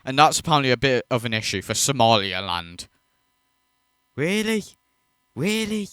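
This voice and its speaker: A man's voice: mid-range voice, big pitch swings, moderate pace, fairly bright tone, smooth, no room sound, studio quality. A man hosting a reaction video, ranting in disbelief and swearing freely as he mocks what he watches. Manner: incredulous, profane, mocking.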